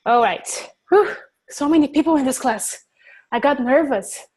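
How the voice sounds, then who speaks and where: A woman's voice: high voice, lively intonation, normal speed, a little mellow. A woman teaching an online English class, chatty and encouraging, laughing easily and joking about herself.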